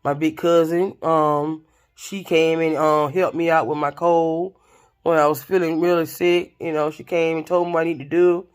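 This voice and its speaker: A woman's voice: sits low, moderate pace. A woman, casually telling a story to her viewers. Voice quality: raspy voice. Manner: chatty, expressive, addresses viewers directly. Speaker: vlogger.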